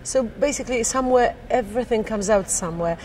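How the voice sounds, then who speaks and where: A woman's voice: a little high, fast, darkish tone, a little hiss or hum. A middle-aged woman from Poland with a Polish accent, chatting about her music in an interview and laughing often. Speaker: singer interviewed. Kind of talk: casual interview chat. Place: backstage at a theater.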